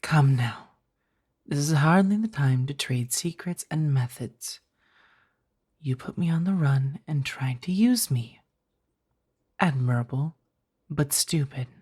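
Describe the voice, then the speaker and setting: A woman acts out an ancient female vampire for an ASMR audio drama. The voice is very low and husky, with slow, formal, hushed phrasing. The vampire comes across as stern and fiercely protective. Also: animated, heavily acted. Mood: stern, warning. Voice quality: breathy, husky. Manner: measured, formal, hushed delivery.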